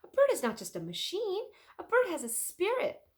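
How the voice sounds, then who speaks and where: A woman voicing an excitable, dreamy older male inventor and artist in a children's storybook, dramatic and emphatic. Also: very high voice, normal speed, voice pushed up, heavily acted. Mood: earnest, dreamy. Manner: emphatic, exclaiming. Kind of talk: children's storybook read-aloud.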